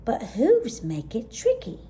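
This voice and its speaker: A woman's voice: fairly high pitch, huge pitch swings, takes it slowly, dark tone, slight background noise, heavily acted. A woman voicing a little girl yak in a children's storybook, an earnest, eager and anxious big-sister-to-be who speaks expressively.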